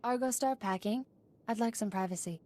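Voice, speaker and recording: A woman's voice: on the high side, moderate pace, warm tone, lightly acted. An actress voicing a guarded but flirtatious woman smuggler in a video game. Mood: warm, inviting.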